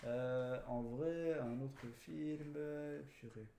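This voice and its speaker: A man's voice: mid-range voice, drawn-out words, gravelly, mellow, a little hiss or hum. Guy on a film podcast just chatting, slangy, english words thrown in, roomy echo. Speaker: podcast co-host. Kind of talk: casual film chat among friends. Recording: roomy, echoing room sound.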